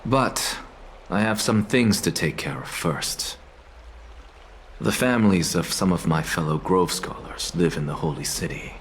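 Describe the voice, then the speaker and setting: Casting: aloof, sardonic professor for a fantasy game, low voice, pompous and measured. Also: male voice, a little hiss or hum. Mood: bitter, resolute. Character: proud male scholar professor, aloof, sardonic, defiant. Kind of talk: dramatic video game acting.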